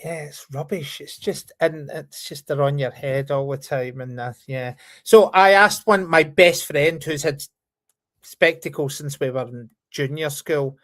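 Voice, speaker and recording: A man's voice: on the high side, measured pace, fairly bright tone. A man in his forties from near Glasgow, with an anglicised Scottish accent, talking casually as an interview guest, with lots of ums and easy laughs. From Scotland, near Glasgow. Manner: chatty, frequent ums, laughs easily. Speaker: guest, company founder.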